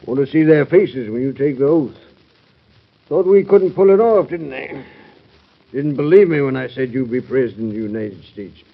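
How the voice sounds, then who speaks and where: An actor playing a frail, stubborn old retiring president, gruff and fond, halting and folksy in a vintage radio drama. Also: male voice, medium pitch, expressive. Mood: stubborn, proud. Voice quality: gravelly, husky. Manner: halting, folksy, swinging from loud to hushed. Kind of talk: 1940s radio drama acting. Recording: dull old radio recording.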